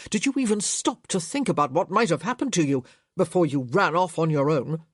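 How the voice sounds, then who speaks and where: A woman voicing a stern, proud young gentleman in an audiobook, speaking firmly with controlled, clipped delivery. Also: low voice, lively intonation, unhurried, no echo, clean studio sound. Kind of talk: audiobook character voice.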